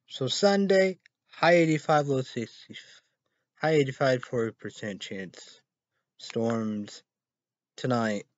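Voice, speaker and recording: A man's voice: lowish voice, vocal fry, no echo. Man rambling into a dull, lo-fi mic. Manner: halting, spells words out, repeats phrases, bursts into loud exclamations. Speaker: online show host. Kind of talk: rambling solo vlog reading weather and news headlines. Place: small dim room indoors. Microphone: webcam or laptop mic at arm's length. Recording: dull lo-fi webcam audio.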